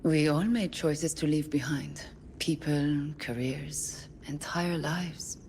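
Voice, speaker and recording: Low female voice, composed space-colony doctor, measured, clinical, probing, game audio log, long reverb with background noise. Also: a little mellow. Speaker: doctor, therapist.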